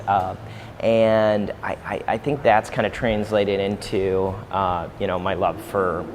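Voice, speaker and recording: Hesitant low-voiced man, many fillers. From St. Louis. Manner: hesitant, full of fillers and restarts. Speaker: startup incubator co-founder. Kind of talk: interview answers to camera. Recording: faint background noise.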